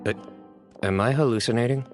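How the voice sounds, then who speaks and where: A man voicing a calm, stoic young man with a measured, dry-humoured delivery in a fantasy video game. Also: mid-range voice, close to an everyday voice. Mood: deadpan. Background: clear background music.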